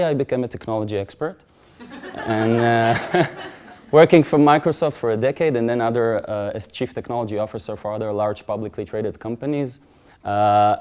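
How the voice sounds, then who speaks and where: Stage speaker, male, earnest storyteller, warm mellow tone, emphatic swells. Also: mid-range voice, clear, resonant tone. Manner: earnest, builds to loud emphatic peaks. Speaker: conference speaker. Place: conference stage.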